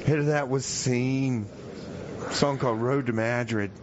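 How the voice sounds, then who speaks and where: A man's voice: medium pitch, steady tone, normal speed, background noise, natural voice. Man playing a rambling jailed radio DJ, lo-fi. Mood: stalling. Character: jailed radio DJ, nervous and easily distracted. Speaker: radio show host. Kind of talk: improvised comedy radio skit.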